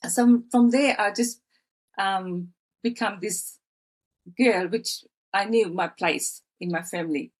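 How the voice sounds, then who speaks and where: A woman in her fifties from Fiji, telling her life story as a podcast guest with many pauses, fillers and restarts. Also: medium pitch, rough recording. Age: 50s. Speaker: guest, author. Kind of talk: personal storytelling in interview.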